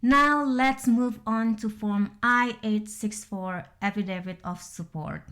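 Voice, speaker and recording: A woman's voice: mid-range voice, takes it slowly, a bit bright. Indonesian-accented woman explaining paperwork. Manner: careful, methodical, explaining one document at a time. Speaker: vlogger. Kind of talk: step-by-step instructional voice-over.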